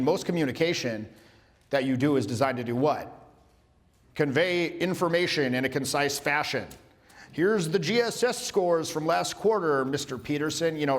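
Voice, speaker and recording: Playful coach in a reverberant hall. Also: male voice, on the high side. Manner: playful, loose, lots of filler words and restarts. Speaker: social skills coach. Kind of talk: energetic live workshop teaching.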